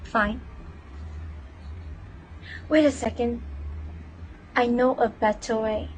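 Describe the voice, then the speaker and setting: A woman's voice: on the high side, fairly even intonation, slow pace, darkish tone, slight background noise, poor audio. A young woman playing a cocky, sarcastic teenage girl pickpocket in a scripted reader's theater piece, with dry delivery. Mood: grudging, plotting.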